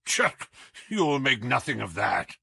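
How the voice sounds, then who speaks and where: A man's voice: mid-range voice, big pitch swings, slow pace, vocal fry, pushed and bright, husky, heavily acted. A man voicing a menacing, proud criminal count in an audiobook, curt, indignant and irritable. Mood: dismissive. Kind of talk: audiobook character acting.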